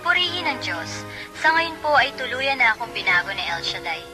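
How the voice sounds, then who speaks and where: A woman's voice: a little high, big pitch swings, fairly quick. A woman playing a joyful, devout Filipino wife giving a testimony in a radio drama, over music. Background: prominent music.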